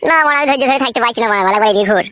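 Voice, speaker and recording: A man's voice: very high-pitched, rapid-fire, pushed and bright, no echo. A man voicing a whiny, foul-mouthed young husband in an amateur comic cartoon, crude and pleading in his delivery. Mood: insistent, angry.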